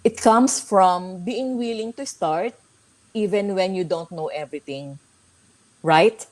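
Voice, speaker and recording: A woman speaking as a guest on a livestream, giving a motivational talk in an earnest, halting manner and repeating her points. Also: mid-range voice, poor audio.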